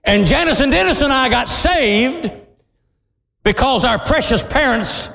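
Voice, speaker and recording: A middle-aged pastor preaching a sermon with passion, often shouting and laughing as he speaks. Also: very high voice, expressive, a little slow, creaky. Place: church sanctuary. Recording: long hall reverb.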